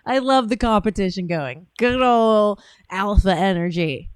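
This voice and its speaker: A woman's voice: mid-range voice, unhurried, smooth, no echo. A woman hosting a call-in podcast, talking bluntly and swearing freely, with frequent laughter. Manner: blunt, profane, laughs often. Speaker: podcast host taking calls. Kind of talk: casual call-in chat.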